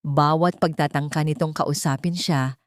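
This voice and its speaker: A woman's voice: sits low, steady tone, moderate pace, no room sound, clean studio sound. A woman reading a scripted romantic drama story as its narrator.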